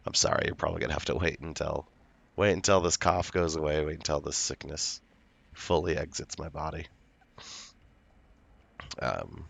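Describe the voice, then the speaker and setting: Hoarse, gravelly deep-voiced man. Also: a bit bright, dry recording. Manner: slow, rambling, laid-back talk. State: hoarse, recovering from illness. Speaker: game streamer. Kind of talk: casual livestream chat.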